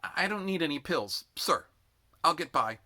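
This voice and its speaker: Man voicing a high, whining exhausted young recruit. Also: expressive, sharp tone, heavily acted. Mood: sullen. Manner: drawn-out aggrieved complaining.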